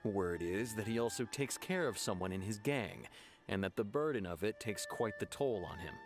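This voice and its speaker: An actor playing an earnest, stern young guard officer in an anime game, speaking in a measured, formal way. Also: male voice, fairly low pitch, lively intonation. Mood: frustrated. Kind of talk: anime video game dialogue. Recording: music behind the dialogue.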